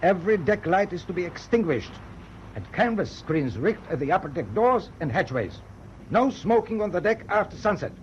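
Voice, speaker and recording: A formal, commanding German ship's captain in a radio drama. His voice sits fairly high and he speaks with measured, determined authority. The recording is dull, with faint noise. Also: sing-song. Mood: stern, resolute. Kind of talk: British radio drama acting.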